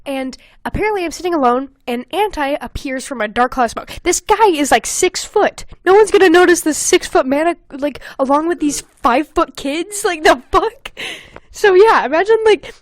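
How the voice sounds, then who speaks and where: A woman's voice: sits high, expressive, normal speed, no echo. A young adult woman hosting a podcast, casually reading old fan fiction aloud with giggly, sweary comic asides. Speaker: podcast host.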